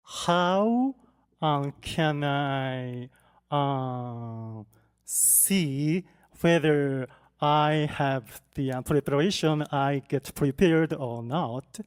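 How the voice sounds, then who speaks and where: Slow, halting male interpreter. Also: fairly high pitch, clear, resonant tone. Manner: hesitant, halting, with many restarts. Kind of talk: consecutive interpretation. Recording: reverberant hall.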